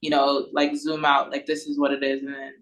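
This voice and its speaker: A woman's voice: a bass voice, completely flat delivery, a little fast, rough recording. A woman in casual conversation on an online call, talking informally and a little hesitantly. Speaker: collaborator on an audio play. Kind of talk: casual meeting chat. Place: online video meeting. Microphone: video call.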